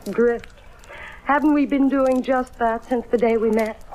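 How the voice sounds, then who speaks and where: An actress playing a frank, lovelorn woman in love with a married man, earnest and deliberate, in old-time radio drama style. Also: on the high side, fairly even intonation, darkish tone, lightly acted. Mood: wistful, probing. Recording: very noisy old radio recording.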